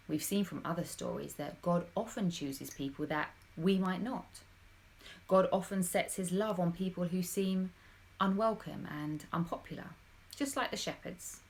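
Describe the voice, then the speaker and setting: Female storyteller, lowish voice, animated and dramatic children's reading, speaks straight to the young audience. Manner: animated, dramatic, addressing young listeners directly. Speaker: storybook reader.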